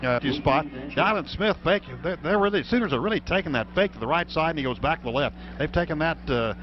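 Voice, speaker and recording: A man's voice: on the high side, expressive, quick pace. A man doing live play-by-play football commentary as a radio announcer. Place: stadium broadcast booth. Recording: noisy, dull radio broadcast recording.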